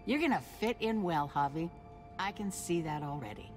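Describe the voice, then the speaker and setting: A woman's voice: lowish voice, animated, natural voice. Actress as a poised, expressively lilting woman leader. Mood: courteous, direct. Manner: genteel, formal, measured politeness. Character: poised, courteous female settlement leader, smoothly persuasive. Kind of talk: naturalistic dramatic game acting. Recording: music under the voice.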